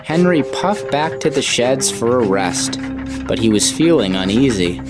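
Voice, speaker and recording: Male narrator, storybook style, lively intonation, medium pitch, music underneath. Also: a little slow.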